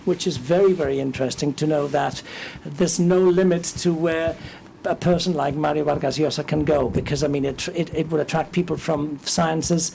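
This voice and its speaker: A man from England, hoarse as he loses his voice, hosts an event with a gravelly, mellow tone. He welcomes the crowd and introduces a panel of experts, joking at his own expense and stumbling into many restarts. A faint noise sits under his voice. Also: fairly high pitch, fairly even intonation, moderate pace, few pauses. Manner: chatty and self-joking, with many fillers and restarts. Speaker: institute director hosting the event.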